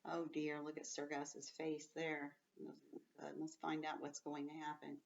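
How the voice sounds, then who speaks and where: A woman's voice: sits low, completely flat delivery, unhurried, vocal fry, slight background noise. A woman schoolteacher giving a read-aloud lesson to children, explaining things in simple words and commenting as she goes. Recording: dull sound, distant from the mic.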